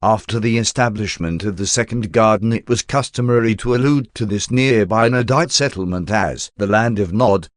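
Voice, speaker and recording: A man reads aloud in the style of a formal audiobook. His voice sits on the low side, and the delivery is measured and expository. The sound is dry, with little room around it. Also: no echo. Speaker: audiobook narrator. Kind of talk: scripted narration, reading a religious text aloud.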